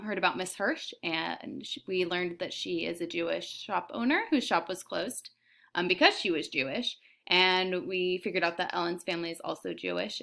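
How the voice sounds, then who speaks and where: Bright-voiced woman reading aloud to children. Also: on the low side, vocal fry. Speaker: elementary teacher reading aloud. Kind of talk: read-aloud narration for children.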